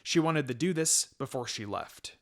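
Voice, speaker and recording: A man's voice: mid-range voice, expressive, normal speed. A man narrating a written story aloud as a scripted reading. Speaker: fan fiction reader.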